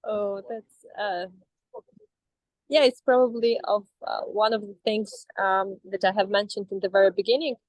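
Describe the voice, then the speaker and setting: A woman's voice: medium pitch, fairly even intonation, normal speed, no room sound, low-quality recording. Russian-accented woman, hesitant and dry. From Astrakhan, Russia. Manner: hesitant, many fillers and restarts. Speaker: coaching client. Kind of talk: casual reflective talk.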